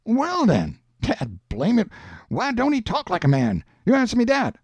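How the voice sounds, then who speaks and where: Man acting a stubborn, animated Southern man arguing. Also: very high voice, moderate pace, husky, no room sound, voice pushed up, full character voice. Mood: indignant triumph. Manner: emphatic, argumentative, dialect speech. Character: adult man Jim, stubborn, indignant, folksy arguer.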